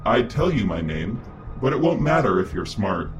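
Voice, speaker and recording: A man playing a cocky masked vigilante in an audio drama, speaking in a deliberate, taunting way. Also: on the low side, very animated, faint music behind. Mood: cool, taunting. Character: cocky masked young male vigilante hero, threatening.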